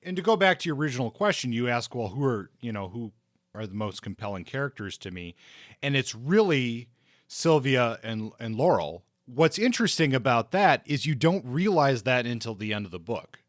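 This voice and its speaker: A man's voice: medium pitch, big pitch swings, moderate pace, no echo, studio quality. A man co-hosting a book discussion podcast, talking casually and thinking aloud as he goes. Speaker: podcast co-host discussing books.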